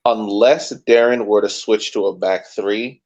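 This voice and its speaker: A man co-hosting a sports podcast, talking casually and thinking aloud in measured, careful points. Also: on the low side, fairly even intonation, a little mellow. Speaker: podcast co-host. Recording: dull lo-fi podcast audio.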